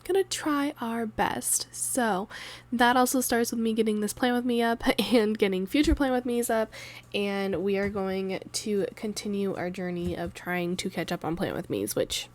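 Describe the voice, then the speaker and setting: A woman in her thirties with a raspy voice from a cold, chatting loosely and laughing in a planner voiceover. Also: mid-range voice, moderate pace. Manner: rambling, chatty, laughs often. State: sore throat from a cold. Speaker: planner vlogger. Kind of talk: casual voiceover chat. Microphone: voiceover mic.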